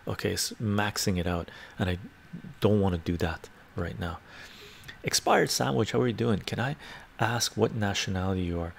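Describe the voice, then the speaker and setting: An older man from Canada talks loosely and warmly at a relaxed pace, responding to chat messages one by one. His voice sits low with a rough, gravelly fry. It is close and clean through a clip-on mic in a book-lined home study. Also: moderate pace, slight background noise. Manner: chatty, answers viewer comments aloud. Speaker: livestream host reading chat. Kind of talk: casual livestream chat. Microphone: lapel mic.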